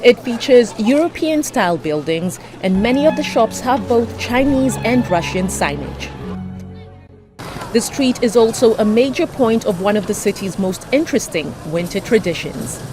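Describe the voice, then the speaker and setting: A woman reporter narrating a scripted news feature in a lively, animated way. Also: a little high, moderate pace, music in the background. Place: outdoors in a snowy city.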